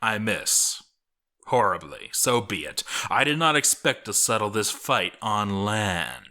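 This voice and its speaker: A man reading a scripted story as a dramatic narrator, theatrical and deliberate, with pauses for effect. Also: fairly low pitch, animated, sharp tone.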